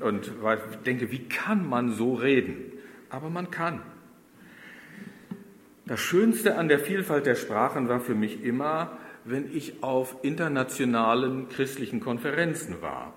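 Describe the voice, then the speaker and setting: A middle-aged German man preaching a sermon to his congregation, speaking conversationally and turning to them with questions. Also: medium pitch. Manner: conversational, addresses the congregation directly. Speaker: pastor. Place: church. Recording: roomy church with long reverb.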